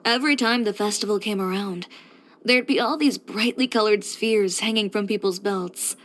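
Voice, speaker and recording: A woman's voice: medium pitch, moderate pace, lightly acted. An actress playing a headstrong, composed young woman who runs a boutique, speaking frankly and with dry confidence, as video game character voice lines. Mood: nostalgic. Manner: matter-of-fact, frank, dryly confident delivery.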